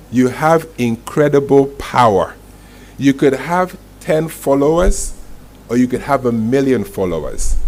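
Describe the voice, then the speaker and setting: Male masterclass speaker, emphatic and deliberate, mid-range voice, live event room with clear noise behind him. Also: medium pitch, a little mellow. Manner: emphatic, deliberate, repeats key phrases for effect. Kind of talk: live motivational presentation to an audience. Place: indoor event room with audience.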